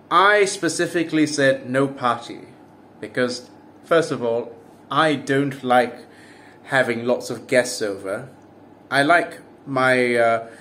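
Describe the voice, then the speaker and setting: A man's voice: mid-range voice, fairly bright tone, slight background noise. A man, talking to camera in a casual vlog monologue, full of wry, self-mocking jokes. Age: 30s. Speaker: political commentary vlogger.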